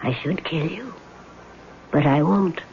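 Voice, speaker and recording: An actress playing a vengeful, weary female ghost in a vintage radio drama, speaking in a haughty, commanding, deliberate way. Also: sits low, lively intonation, exaggerated voice. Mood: brooding, menacing. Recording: muffled vintage radio recording with hiss.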